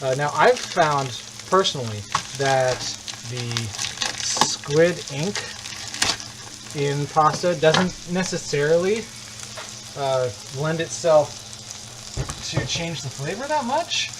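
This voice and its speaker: A man's voice: on the high side, animated, slow, pauses often. A man from the United States hosting a live cooking stream, talking casually and rambling with joking asides as he cooks in a home kitchen. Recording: clear kitchen sizzle and frying noise.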